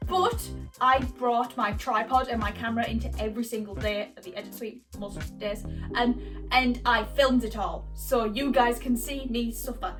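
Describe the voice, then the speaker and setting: A woman's voice: fairly high pitch, steady tone, fairly bright tone, distant mic, soft background music. A woman talking in a casual, chatty vlog style as host, rambling and often interrupting herself. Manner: rambling, halting, self-interrupting. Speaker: video creator and host. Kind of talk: casual chatty vlog about audio production.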